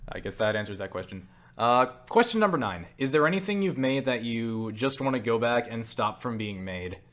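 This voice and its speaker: A young man talks off the cuff in a casual question-and-answer style, sounding tired. He rambles and jokes at his own expense, with casual swearing. His voice sits at an ordinary middle pitch. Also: animated, normal speed, a bit bright. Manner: self-deprecating, joking, swears casually. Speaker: video creator answering viewer questions. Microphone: webcam setup.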